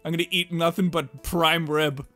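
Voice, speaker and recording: A man's voice: a little high, steady tone, moderate pace, sharp tone, soft background music. A man hosting a gaming playthrough, reading dialogue aloud casually with joking, exclamatory asides. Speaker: gaming let's play host.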